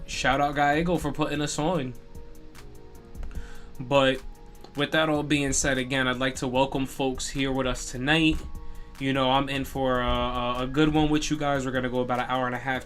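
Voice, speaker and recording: A young man hosts a live gaming stream in a loose, conversational way. He talks at an easy pace, full of casual fillers, with a voice in the middle of the male range. Faint music plays softly behind him. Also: medium pitch. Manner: laid-back, chatty, frequent "you know" fillers. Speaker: streamer and podcast host.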